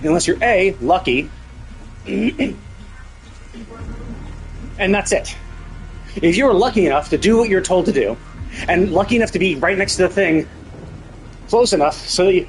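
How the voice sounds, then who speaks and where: A man with a fairly high voice talks casually through a game on a live stream. He is blunt and swears freely, with faint music playing behind him. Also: poor audio. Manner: blunt, heavily sweary venting. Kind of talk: casual gaming stream commentary.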